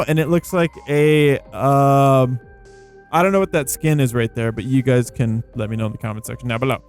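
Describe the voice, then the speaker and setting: Man, fairly high voice, casual gameplay commentary, slangy and rambling, music behind. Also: smooth. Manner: rambling, playful, informal slang. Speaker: gaming video host. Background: background music.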